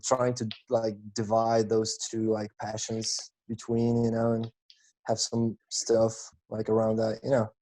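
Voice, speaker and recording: A young man from Lithuania, a guest chatting casually on a podcast in a relaxed, laid-back way. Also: on the low side, poor audio. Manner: relaxed, laid-back, calls people bro. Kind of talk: casual chat.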